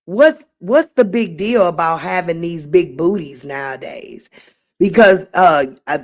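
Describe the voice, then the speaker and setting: Slow-drawling raspy woman livestream host. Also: medium pitch. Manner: sassy, drawling, reads chat aloud. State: voice getting raspy. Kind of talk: casual late-night livestream commentary.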